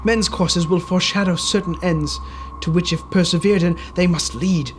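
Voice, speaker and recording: A man's voice: sits high, steady tone, normal speed, background noise, voice pushed up, lightly acted. A man voicing a frightened, repentant old miser who pleads with passion in a dramatic storybook reading. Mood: anxious pleading. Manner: impassioned, pleading.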